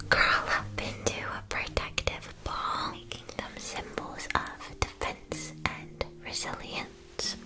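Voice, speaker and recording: A woman playing a gentle, attentive witch-school shopkeeper in a soft, soothing ASMR roleplay. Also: close to an everyday voice, poor audio.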